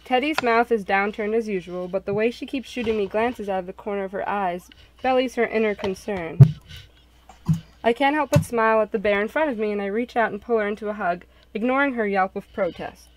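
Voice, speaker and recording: A woman reading expressive scripted narration for a visual novel. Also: medium pitch, brisk, low-quality recording. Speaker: narrator and game developer. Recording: clicks and clear background noise.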